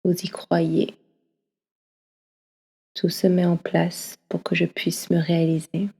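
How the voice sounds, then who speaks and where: A woman leading a guided yoga nidra session, speaking slowly and softly, often slipping into a half-whisper. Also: on the low side, flat delivery, vocal fry, breathy edge. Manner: slow, soft, often half-whispered delivery. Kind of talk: guided meditation. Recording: long reverb as in a hall.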